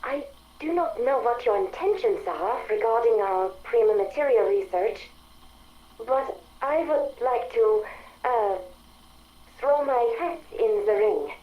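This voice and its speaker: A woman's voice: on the high side, animated, slow, phone-quality sound. An actress playing an articulate female artificial intelligence that longs for a body, speaking precisely and formally in video game audio logs. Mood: earnest, hopeful. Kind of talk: dramatic video game audio log acting.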